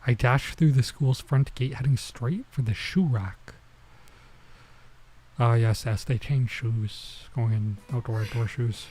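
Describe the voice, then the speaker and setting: Mellow-voiced man narrating a let's play. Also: medium pitch, takes it slowly. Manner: informal, bemused asides. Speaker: streamer playing a visual novel. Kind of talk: let's play, reading game text aloud with casual reactions. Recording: music under the voice. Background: game music.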